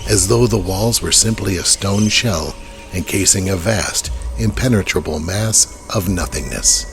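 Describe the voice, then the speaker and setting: A man's voice: sits low, measured pace, soft background music. A man narrating a horror audio drama in a scripted storytelling style.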